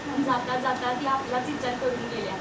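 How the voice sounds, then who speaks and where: बाईने साकारलेली खूप उंच आवाजाची, विनवणारी गावाकडची बायको. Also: female voice, sits high, fairly even intonation, a little fast, close to an everyday voice. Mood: earnest pleading. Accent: rural Maharashtrian Marathi. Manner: coaxing, pleading. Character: pleading, caring village wife coaxing her grieving husband. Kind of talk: stage drama acting. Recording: distant echoey stage recording, loud noise.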